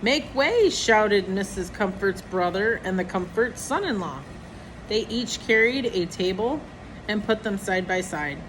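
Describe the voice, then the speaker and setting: A woman reads a children's story aloud at a medium pitch. Her delivery is expressive, as if for young listeners. Clear background noise runs behind her voice. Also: unhurried, low-quality recording. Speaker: storybook reader. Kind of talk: reading a children's picture book aloud.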